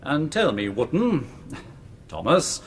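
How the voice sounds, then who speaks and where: Animated, pompous prosecuting barrister. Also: male voice, mid-range voice, slow pace, slight background noise. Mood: probing. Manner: pointed, pompous, insistent. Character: forceful, theatrical male prosecuting barrister. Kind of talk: period courtroom radio drama.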